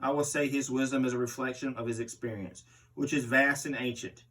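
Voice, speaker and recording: A man plays a brutal barbarian pro wrestler giving an interview. He boasts and threatens like a promo, in a mid-low male voice. The voice sits some distance from the microphone. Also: fairly even intonation, a little fast, a bit bright, natural voice. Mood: boastful. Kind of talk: interview read aloud as a wrestler.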